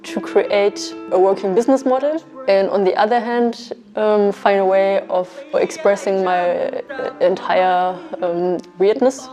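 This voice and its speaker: A woman's voice: mid-range voice, steady tone, unhurried, few pauses, warm tone, faint music behind. A young woman, a bootcamp student, talking candidly and a little tentatively about herself in a documentary interview. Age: young adult.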